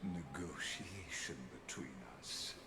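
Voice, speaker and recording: An actor voicing a calm, scheming game villain of cosmic intellect, speaking in a formal, theatrical, condescending manner. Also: male voice, low-pitched, slow pace, echoey room. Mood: smug, coaxing. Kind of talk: scripted video game villain monologue. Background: music.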